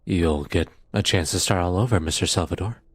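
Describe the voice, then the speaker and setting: A man playing a firm, matter-of-fact police detective in an audio roleplay drama, with a measured, official manner and a husky voice. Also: sits low, no room sound. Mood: measured, persuasive.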